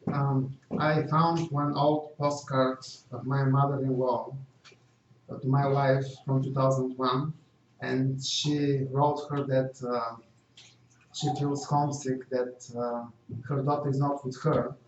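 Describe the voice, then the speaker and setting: A man's voice: mid-range voice, little pitch movement, takes it slowly, recorded from a distance, a little hiss or hum. A middle-aged man from Bulgaria with a Bulgarian accent, a non-native English speaker, giving an earnest talk as guest speaker and answering questions. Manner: earnest, repeats phrases with small slips of grammar. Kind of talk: prepared community talk and Q&A. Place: meeting room. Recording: dull, echoey live stream from afar.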